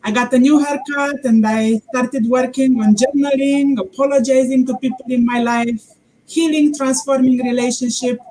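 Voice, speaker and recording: An earnest woman from Romania speaks formally as a talk show guest. Her voice is fairly high, with a dark, mellow tone. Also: steady tone, moderate pace, low-quality recording.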